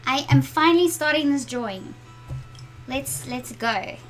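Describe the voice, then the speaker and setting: Slow-talking South African woman artist. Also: high-pitched, fairly bright tone, soft background music. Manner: chatty, reads viewer comments aloud while drawing. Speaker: art channel host. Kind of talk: live-stream drawing chat with viewers. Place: home art studio.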